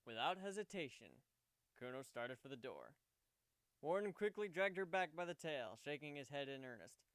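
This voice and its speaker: A man's voice: a little high, sing-song, normal speed, a bit bright, clean tone, dry recording. A man narrating fan fiction as a dramatic storybook reading.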